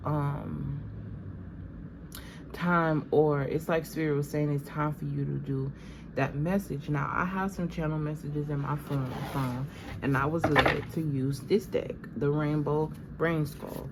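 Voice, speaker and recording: A woman with a low voice gives a channeled tarot reading and sounds a little stuffy from allergies. She talks casually and drifts from thought to thought, calling her viewers "y'all". Steady background noise runs under the recording. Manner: conversational, meandering, addresses viewers as "y'all". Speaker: tarot reader.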